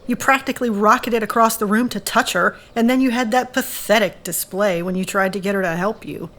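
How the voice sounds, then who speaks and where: An actress plays a mocking, unimpressed woman in an audio drama. Her voice is medium in pitch, with dry sarcasm and a sharp tongue. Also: fairly quick, close to an everyday voice. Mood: sarcastic, taunting.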